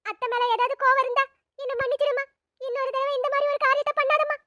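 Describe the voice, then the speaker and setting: A woman's voice: extremely high pitch, little pitch movement, fast, bright, forceful tone, dry recording. A woman voicing a tearful, doting old grandmother who fusses over her grandson with endless endearments. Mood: pleading. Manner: wailing, fussing endearments. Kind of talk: animated story voice acting.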